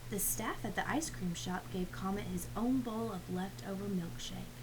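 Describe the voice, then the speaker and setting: A woman reading a children's picture book aloud in an expressive storytelling manner, with hushed asides to young listeners. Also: medium pitch, normal speed, background noise. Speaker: read-aloud reader.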